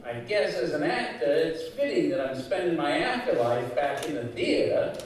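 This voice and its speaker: A man's voice: a little high, sing-song, moderate pace, slight background noise. A man playing the talking skull of a washed-up old sitcom actor in a live radio comedy, wry and full of showbiz patter. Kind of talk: old-time radio comedy acting before a live audience. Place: community center stage, live performance. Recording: very echoey hall, far from mic.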